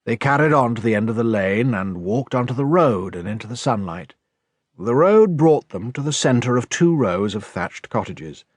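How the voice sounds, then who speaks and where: A man's voice: mid-range voice, expressive, normal speed, husky, no echo, clean studio sound. A man with a British accent reading scripted narration from a children's novel as an audiobook narrator. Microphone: close, dry studio recording.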